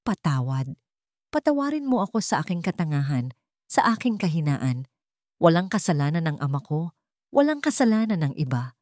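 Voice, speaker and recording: A woman's voice: low voice, a little mellow, no room sound, studio quality. Woman reading as a remorseful, pleading rich man. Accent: Filipino. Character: remorseful, humbled young billionaire man in love. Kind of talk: romance radio-drama story dialogue.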